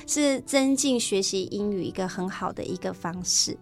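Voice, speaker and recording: A woman's voice: mid-range voice, normal speed, faint music behind. A woman with a Taiwanese Mandarin accent, a teacher chatting enthusiastically as an interview guest. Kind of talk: casual interview chat.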